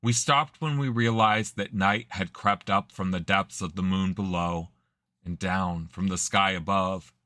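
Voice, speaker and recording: A hesitant young man telling a painful memory by a fire in an audio drama, subdued and halting, as a man puts him on. The voice sits low but rings bright and forceful. It is recorded close and dry. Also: fairly even intonation, dry recording. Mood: wistful.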